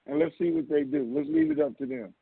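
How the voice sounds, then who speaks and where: Man, blunt explainer on a conference call, creaky voice, thin dry telephone audio. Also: very high-pitched, big pitch swings, quick pace, no echo. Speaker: co-host answering listener questions. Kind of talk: casual explanatory call-in talk. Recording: narrow, lo-fi phone line.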